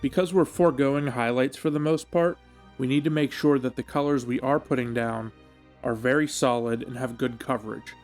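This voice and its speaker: A man giving a measured, explanatory voice-over as the host of a hobby tutorial. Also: mid-range voice, steady tone, a little mellow. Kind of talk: instructional voice-over narration. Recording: music behind the voice. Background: background music.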